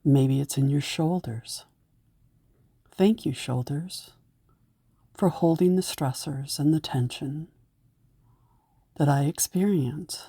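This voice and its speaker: A woman leading a guided meditation in a gentle, soothing way, giving unhurried instructions. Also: low voice, slightly rough, no echo, clean studio sound.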